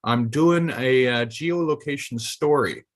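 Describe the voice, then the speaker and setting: A chatty man from Canada with a middle-pitched voice. He explains things in a loose, casual way, as on an informal video call. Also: measured pace. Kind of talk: casual chat.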